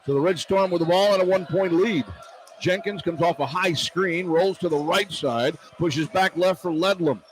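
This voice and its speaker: Higher-voiced male basketball play-by-play announcer, noisy arena. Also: moderate pace. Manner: clipped running description of the action. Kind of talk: live basketball play-by-play commentary. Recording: noisy live arena broadcast. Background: arena crowd ambience.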